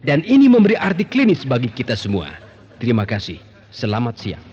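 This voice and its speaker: A man's voice: medium pitch, wildly swooping pitch, fairly quick, darkish tone, slightly rough. An actor playing a formal, declamatory male medical lecturer in a scripted radio advertisement. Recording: dull lo-fi radio recording.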